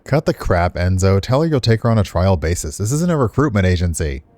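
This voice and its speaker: A man's voice: sits low, very animated, fairly quick, darkish tone, no room sound. A man voicing an easygoing, frank male restaurant worker in a visual novel. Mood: exasperated.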